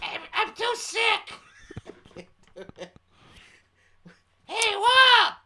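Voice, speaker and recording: Give me a man doing a shrill, taunting heckler woman, lilting up and down, over a noisy line. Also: huge pitch swings, very slow, full character voice. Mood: mocking. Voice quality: squeaky, taunting.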